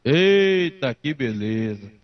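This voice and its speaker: A man hosting a sports call-in radio show, energetic and teasing, repeating his phrases. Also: mid-range voice, takes it slowly. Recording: muffled lo-fi radio sound. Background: faint music.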